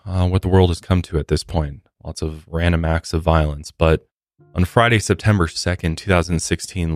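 An American man with a deep voice narrates true crime as a podcast host. He is somber, mixing prepared storytelling with casual asides. The sound is dry, with faint music underneath. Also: a little mellow, no echo.

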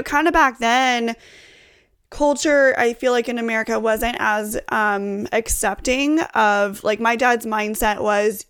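A woman in her thirties hosts a casual interview in a somewhat high voice. She is chatty and enthusiastic, asking questions and sharing stories of her own. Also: a bit bright, clear, resonant tone. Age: 30s. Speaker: podcast host.

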